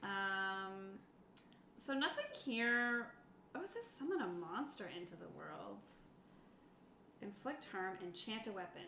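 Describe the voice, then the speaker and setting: A woman's voice: mid-range voice, big pitch swings, takes it slowly, vocal fry, long pauses, sharp tone. Woman player in an echoey room. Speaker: player in a tabletop roleplaying game. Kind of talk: casual game chat, describing moves and reading rules. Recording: echoey room, faint background noise.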